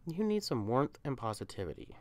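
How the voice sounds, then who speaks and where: A woman as a low-voiced, tender catperson sweetheart. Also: big pitch swings, brisk, darkish tone, no room sound. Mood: upset, pleading. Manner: tender, coaxing, emphatic. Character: affectionate, protective young catperson partner comforting a lover. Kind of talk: ASMR-style comfort roleplay audio.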